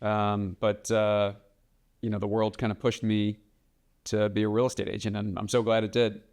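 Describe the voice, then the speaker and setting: Podcast guest, man, late 30s, from the DC area; resonant, low, unhurried and reflective. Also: little pitch movement, vocal fry, a little mellow. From Washington DC area, US. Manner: measured, thoughtful, with frequent ums. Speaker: guest, real estate broker. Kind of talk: casual podcast interview.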